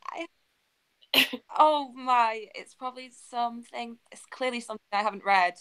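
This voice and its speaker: A woman's voice: on the high side, normal speed, sharp tone, smooth, poor audio. A woman playing an online quiz from a video call, chatting casually and hesitantly as she thinks aloud. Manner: hesitant, searching for answers. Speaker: quiz contestant.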